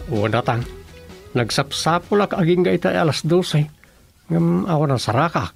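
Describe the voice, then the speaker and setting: A man's voice: on the high side, expressive, moderate pace, gravelly, music in the background. A man playing a worried searcher in a radio drama, anxious and plain-spoken.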